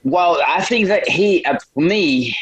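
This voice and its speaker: A young man from a small town in Brazil with a Brazilian accent, answering interview questions casually in halting non-native English, often repeating words. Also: high voice, lively intonation, fairly bright tone, husky, no room sound, rough recording. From Brazil, small town in the north. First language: Portuguese. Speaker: MMA fighter, podcast guest.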